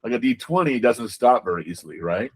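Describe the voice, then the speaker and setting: A man being interviewed as a game designer, talking casually and haltingly, often repeating words as he explains. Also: mid-range voice, lively intonation, breathy edge.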